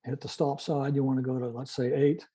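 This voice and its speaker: An older man giving an informal technical presentation and demonstration, explaining methodically in a halting way. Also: medium pitch, level tone, warm tone. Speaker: club presenter, retired-style veteran telephony engineer.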